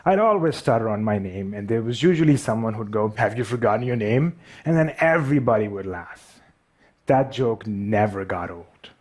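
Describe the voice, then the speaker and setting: A man's voice: on the low side, lively intonation, normal speed, a little mellow. A man with a Pakistani accent telling a personal story, a speaker who stutters and pokes fun at himself. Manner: stutters, self-deprecating. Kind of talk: storytelling talk.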